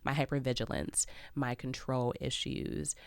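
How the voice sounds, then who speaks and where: A woman's voice: a bass voice, steady tone, normal speed, creaky, dry recording. A woman from Chicago, a podcast guest speaking openly and reflectively, often laughing lightly through difficult subjects. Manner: reflective, open, laughs off hard topics. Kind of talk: candid personal interview talk.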